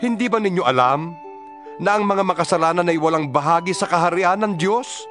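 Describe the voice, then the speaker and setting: Male scripture reader, fairly high voice, earnest and exhorting, music bed underneath. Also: a little fast, a bit bright. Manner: earnest, preaching, rhetorical questions. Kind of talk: dramatized scripture reading. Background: background music.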